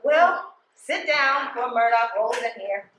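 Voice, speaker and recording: A woman playing an anxious, proud, conflicted post office supervisor in a stage play, expressive and defensive. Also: a little high, measured pace, highs cut off, very noisy. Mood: defensive, wistful. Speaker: stage actress. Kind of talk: live stage play acting, conversational dialogue. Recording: echoey theater stage room.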